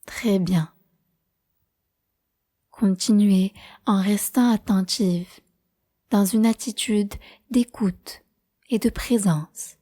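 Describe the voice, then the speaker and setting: A woman guides a meditation at a very unhurried pace. Her voice is soft-edged and mellow, at a medium pitch. She gives each instruction in a calm, measured, soothing manner. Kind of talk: slow guided prenatal meditation narration.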